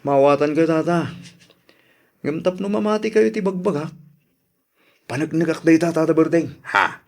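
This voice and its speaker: A man with a Filipino accent playing an earnest suitor in a radio drama, speaking gently and pleadingly. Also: on the high side, normal speed, natural voice. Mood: respectful, earnest. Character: earnest, soft-spoken male suitor courting an old love. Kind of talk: Ilocano radio drama acting.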